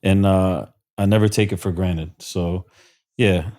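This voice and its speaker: A man's voice: sits low, fairly even intonation, a little slow, mellow. A man from South Florida, a podcast guest, talking earnestly and emotionally with many fillers and restarts. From South Florida, USA. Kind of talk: casual interview talk.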